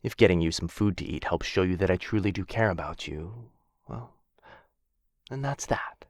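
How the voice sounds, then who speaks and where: A man's voice: deep voice, moderate pace, no room sound, studio quality. A man playing a possessive, courteous dragon captor who dotes on a human pet in a boyfriend ASMR fantasy roleplay, with a coaxing, condescendingly affectionate manner. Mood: fond, reassuring. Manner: mock-polite, coaxing, theatrical, condescendingly affectionate.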